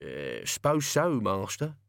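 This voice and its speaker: A man's voice: fairly low pitch, wildly swooping pitch, slow pace, vocal fry, a bit bright, no echo, studio quality, heavily acted. A man voicing a wry old manservant in a storybook reading, deferential but colloquial and coaxing. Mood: awkward.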